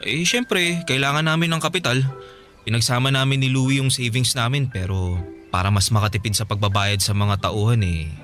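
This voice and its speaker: Man acting as an earnest, sheepish boyfriend, medium pitch. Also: moderate pace, fairly bright tone, faint music behind, natural voice. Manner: hesitant openings, earnest explaining. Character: earnest, sheepish boyfriend with big business dreams. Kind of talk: radio drama acting, conversational.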